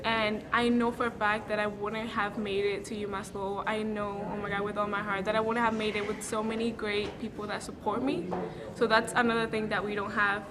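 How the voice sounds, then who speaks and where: A young woman in her late teens from the Dominican Republic, answering interview questions earnestly and reflectively, pausing to gather her thoughts. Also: mid-range voice, level tone, crowd noise. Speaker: student interviewee. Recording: noisy background hiss.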